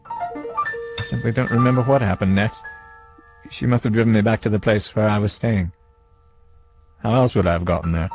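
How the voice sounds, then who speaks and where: An actor playing a wistful man who recalls his youth in measured, literary first-person dramatic narration. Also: on the low side. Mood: melancholy, reflective. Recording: dull lo-fi audio with music. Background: music.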